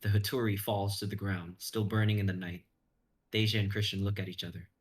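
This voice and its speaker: Young adult man, low register, suspenseful dramatic narrator for an action fantasy. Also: little pitch movement, fairly quick. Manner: intense, suspenseful storytelling. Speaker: stream host and narrator.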